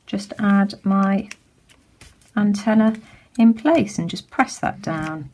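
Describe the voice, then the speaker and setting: A middle-aged British woman with a slightly croaky voice, casually talking through a craft demonstration with lots of ums and restarts. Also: mid-range voice, takes it slowly, mellow, rough recording. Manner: chatty, many ums and restarts. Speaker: craft tutorial host. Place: home craft table.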